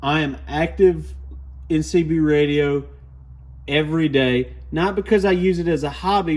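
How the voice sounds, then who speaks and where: A man's voice: a little high, darkish tone. A man hosting a hobby video channel, giving a casual product review in a plain-spoken, explanatory way. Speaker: host of an amateur radio video channel.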